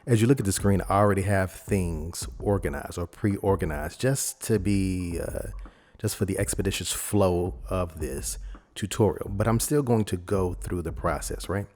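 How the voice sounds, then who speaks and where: A man presenting a software tutorial in a casual, rambling, conversational way, talking informally through each step. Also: low-pitched, normal speed. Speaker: video editing tutorial host.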